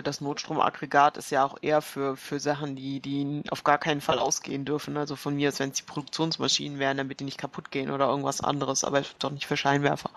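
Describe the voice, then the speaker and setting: Higher-voiced, even-toned man with creaky voice. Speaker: player in a tabletop role-playing game. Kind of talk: casual planning talk during a game.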